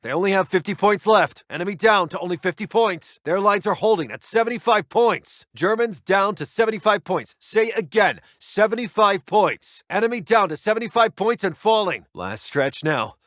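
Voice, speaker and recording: A military intelligence officer in a war game, with a fairly high-set male voice. He reports to his commander in short, clipped, urgent phrases, dutiful and focused. The sound is very dry and close, free of room echo. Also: lively intonation, normal speed, hardly any pauses, a bit bright. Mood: driven, confident. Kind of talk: military game announcer voice lines.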